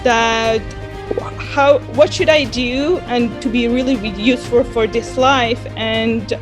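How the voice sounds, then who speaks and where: Woman, 30s, earnest, repeats words, slightly high, faint background music. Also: steady tone, a little slow. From Iran, grew up in Dubai. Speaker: guest, company founder. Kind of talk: casual interview.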